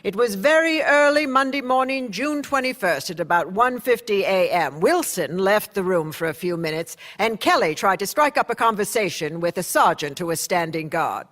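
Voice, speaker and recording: An earnest newspaperman telling his account as a radio drama narrator. The voice is high and measured, carried in a long reverb. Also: animated, a bit bright. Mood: measured, suspenseful. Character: earnest male newspaper insider recounting a court battle.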